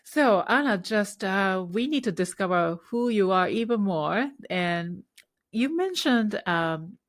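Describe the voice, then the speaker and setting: A woman's voice: mid-range voice, lively intonation, slow pace, no room sound, clean studio sound. A woman host who grew up in Japan, interviewing in a rambling, hesitant way with many fillers. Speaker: podcast host. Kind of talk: casual interview.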